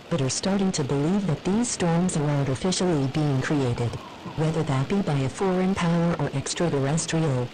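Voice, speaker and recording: Woman playing a low, dark-voiced solemn official. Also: slight background noise, lightly acted, rough recording. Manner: formal, measured. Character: solemn female weather service chief addressing citizens in catastrophe. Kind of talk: scripted emergency address.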